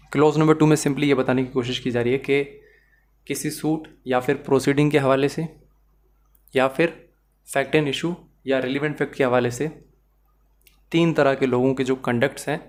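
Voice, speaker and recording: A Pakistani man speaks as a law lecturer at a medium pitch. He explains in a didactic way, talking straight to the learner and working through examples. English terms and phrases are woven through his sentences. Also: darkish tone. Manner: didactic, addresses the learner directly.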